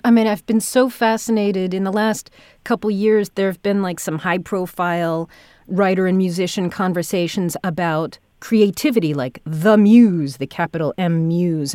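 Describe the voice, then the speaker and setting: A woman hosts a conversational interview in a medium-pitched voice. She speaks thoughtfully, feeling her way toward the right phrasing, in a dry recording with no room sound. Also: warm tone, no room sound. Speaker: podcast interviewer.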